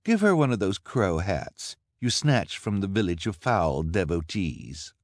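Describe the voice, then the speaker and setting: A man's voice: low voice, very animated, a little slow, no echo, studio quality, pitched above the performer's own voice, heavily acted. A man voicing a haughty, greedy villainous woman who talks in a spoiled, demanding way.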